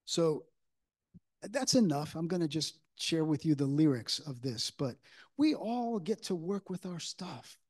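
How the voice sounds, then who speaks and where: A man in his sixties preaching a reflective, informal, conversational sermon with halting pauses into a lectern microphone in a church. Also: fairly high pitch, expressive, darkish tone. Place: church sanctuary, at a wooden lectern. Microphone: microphone on a stand at the lectern.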